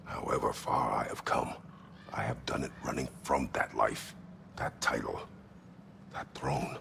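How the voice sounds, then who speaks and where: An actor playing a stoic, brooding aging god of war in a video game, speaking tersely and formally in a gravelly voice. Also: male voice, rumbling low, steady tone, a little slow, bright, forceful tone, a little hiss or hum, exaggerated voice. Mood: heavy, brooding. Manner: terse, formal, grave speech without contractions. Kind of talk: dramatic video game acting.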